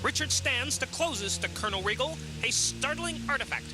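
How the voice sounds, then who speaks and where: Man as a high-pitched, suspenseful serial narrator. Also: animated, moderate pace, music in the background. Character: dramatic adventure-serial narrator, adult man. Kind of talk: scripted mock radio serial narration.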